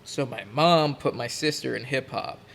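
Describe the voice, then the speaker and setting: Young male podcast guest, gravelly and sharp-toned, laid-back slangy storyteller, echoey room with a faint hiss. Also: mid-range voice, moderate pace. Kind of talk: casual chat.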